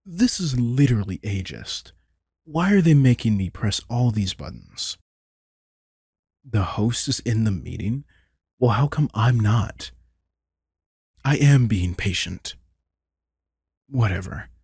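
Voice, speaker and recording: A man playing a grumpy, sardonic old vampire mentor in an intimate audio roleplay, husky and informal, prone to swearing. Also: on the low side, lively intonation, takes it slowly, long pauses, a little mellow, dry recording, studio quality, full character voice. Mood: grumpy, exasperated.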